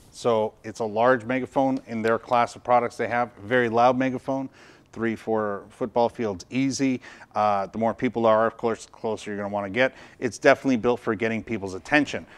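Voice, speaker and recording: A man in his fifties with a medium-pitched voice presents a product review. He explains each point conversationally, in the casual style of someone demonstrating gear at a table. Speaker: product reviewer and video host. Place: indoor studio workshop at a tabletop.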